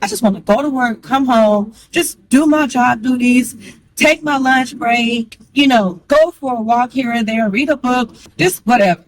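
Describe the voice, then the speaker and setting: A woman talking fast and candidly to the camera, chatty and frank, with a strong voice. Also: a little high, poor audio. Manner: chatty, candid, calls listener girl; fast talker. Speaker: vlogger. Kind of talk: casual talk to camera.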